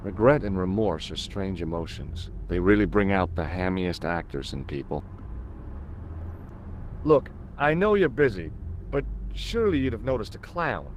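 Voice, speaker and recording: Game lead: dogged young American, polite, wry, animated intonation, music behind. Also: male voice, sits low, moderate pace, slight background noise. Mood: dry, sardonic, then inquisitive. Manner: polite but persistent, dryly sardonic. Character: earnest, dogged young American man investigating a bombing. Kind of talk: video game dialogue acting.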